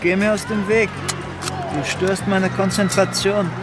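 An actor playing an irritable, snappish army lieutenant in a German radio drama. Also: male voice, high voice, little pitch movement, unhurried, over music, crowd noise. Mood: annoyed. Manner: curt, snappish.

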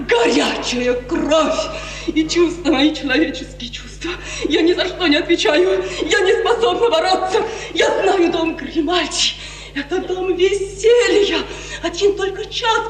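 Impassioned young noblewoman, high, echoing voice. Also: fairly bright tone. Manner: declamatory, fervent, drawn-out phrasing. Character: impassioned, desperate young noblewoman pleading with her father. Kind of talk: 1950s Russian radio tragedy acting. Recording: 1950s radio recording, echoey hall, noisy.

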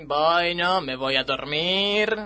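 A man's voice: a little high, takes it slowly, sharp tone, no room sound. A man with an Argentine accent playing a theatrical, defiant monastery bell-ringer in a comic radio sketch. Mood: sleepy. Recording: music behind the voice.

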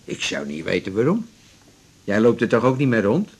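An actor playing a blunt, chatty, gruffly generous male patient with colloquial joviality in a naturalistic radio drama. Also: lowish voice, huge pitch swings, normal speed, a little mellow, heavily acted. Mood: grumbling.

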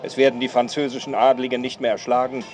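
A man acting as a solemn battlefield witness in a radio play. His voice is mid-pitched, with clear open-air noise behind it. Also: level tone, fast, close to an everyday voice. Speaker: battle reporter. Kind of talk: radio drama solemn commentary.

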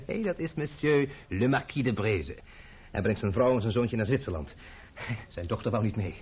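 A man's voice: mid-range voice, lively intonation, normal speed, breathy edge. An actor playing a grumbling, hot-tempered man in love in an old radio play, cursing and exclaiming theatrically. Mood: jovial, blustering. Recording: narrow-band old radio recording with hiss.

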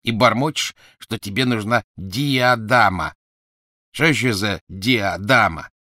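A man voicing a dim-witted, hulking teenage henchman who grunts and talks slowly and smugly. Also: lowish voice, sharp tone, no echo, clean studio sound. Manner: grunting, slow, smug. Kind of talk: audiobook character voice.

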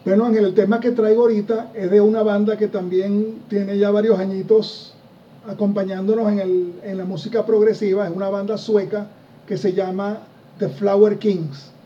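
High, dark-toned man on a distant lo-fi mic. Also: normal speed, slight background noise. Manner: relaxed, enthusiastic about bands, many fillers. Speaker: co-host of a progressive rock music show. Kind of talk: casual radio-style music chat.

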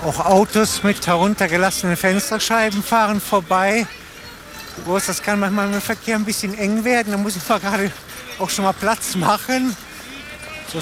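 High-voiced man reporting from the street. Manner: rambling, narrating what happens around him. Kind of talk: spontaneous live observation report. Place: outdoors on a street with traffic.

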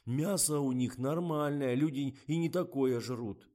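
A man's voice: medium pitch, measured pace, mellow, voice pushed up, natural voice. A man voicing an earnest, fussy male production assistant who explains things methodically and with emphasis in an audiobook. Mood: insistent.

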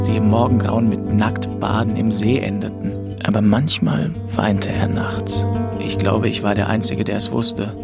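A man's voice: lowish voice, vocal fry. An actor playing a grieving, aimless young man of twenty-two, narrating a radio drama in a subdued, introspective way. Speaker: first-person narrator. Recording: music behind the voice.

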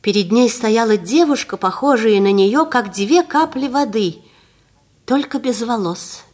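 Calm slow-reading woman storyteller. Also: a little high. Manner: calm, measured, expressive. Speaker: narrator. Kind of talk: scripted storybook narration for children.

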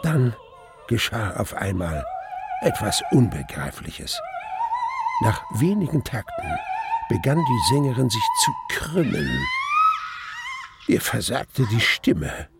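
An appalled, emotional witness tells his story in a gravelly voice. He draws words out slowly with theatrical swoops, over prominent music in a radio play. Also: medium pitch, wildly swooping pitch, heavily acted. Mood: appalled, incredulous. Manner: deliberate, drawn-out, theatrical emphasis on words. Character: emotional male opera witness recounting horror on stage. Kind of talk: dramatic radio drama narration.

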